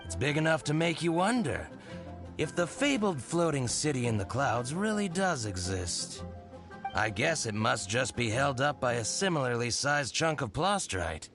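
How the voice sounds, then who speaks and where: A man's voice: mid-range voice, very animated, normal speed, music in the background. A man voicing a sly, genial small-time merchant in an anime game, courteous, teasing and theatrical. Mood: genial, smooth.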